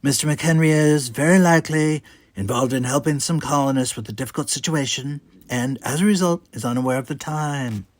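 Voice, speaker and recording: A man's voice: mid-range voice, brisk, dry recording, pitched above the performer's own voice, natural voice. A man playing a composed, diplomatic starship officer. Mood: firm, diplomatic.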